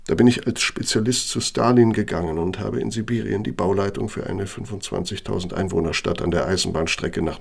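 An actor playing a cynical, bitter middle-aged architect and old Nazi functionary in a German radio play, gravelly, with a pointed, self-justifying delivery. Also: male voice, low-pitched, exaggerated voice. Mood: boastful. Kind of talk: German radio play acting, dramatic monologue.

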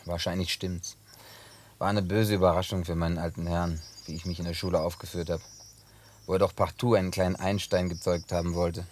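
A man's voice: low-pitched, little pitch movement, a little fast, slight background noise, close to an everyday voice. A man playing a wry farm-boy survivor. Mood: reflective. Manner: dry, teasing, laconic. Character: wry grown man, a farm boy at heart, teasing and guarded. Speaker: Jim, survivor in a German film dub. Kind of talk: German film dub.

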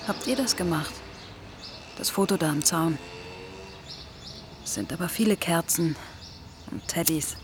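A woman's voice: lowish voice, measured pace, long pauses, background noise, natural voice. A woman playing a cool, probing amateur sleuth, terse and inquisitive, in a radio crime drama. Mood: gentle probing.

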